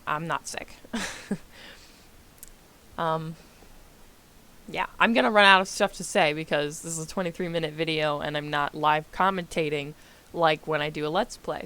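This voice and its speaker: A woman's voice: on the low side, fairly bright tone. A young American woman, a little congested, giving a rambling, self-deprecating voice-over on her game build and laughing often. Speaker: gaming YouTuber.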